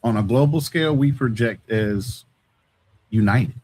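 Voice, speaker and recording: A man's voice: mid-range voice, a little slow, a little mellow, slight background noise. An American man in a casual live discussion, colloquial and persuasive, putting questions to the others.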